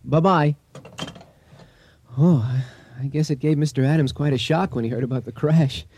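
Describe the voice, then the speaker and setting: An actor playing a shaken, stammering husband in a radio drama. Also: male voice, on the high side, moderate pace, darkish tone, husky, no echo. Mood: shaken, apologetic. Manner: stammering, repeating himself anxiously. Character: shaken, relieved husband who escaped a plane crash.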